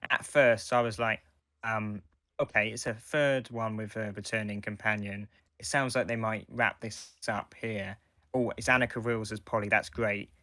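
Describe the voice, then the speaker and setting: Man, podcast co-host, lowish pitch, bright sharp tone, dry sound, halting casual chat. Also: steady tone, dry recording. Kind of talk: casual fan review chat.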